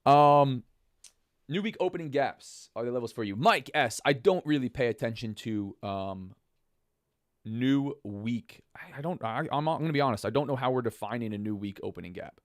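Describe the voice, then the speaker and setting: A hoarse man with a raspy smoker's voice, chatting casually to viewers as the host of a livestream, with an informal, buddy-like way of explaining things. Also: mid-range voice, animated, moderate pace, no echo, clean studio sound. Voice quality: raspy smoker's voice, partly lost. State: hoarse, voice half gone. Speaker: host of a live trading stream.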